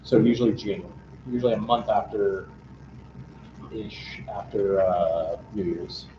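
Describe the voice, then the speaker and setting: A man's voice: on the low side, steady tone, unhurried. A man leading a casual group chat, rambling and filling his talk with "like". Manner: rambling, heavy on "like". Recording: echoey room, muffled, faint noise.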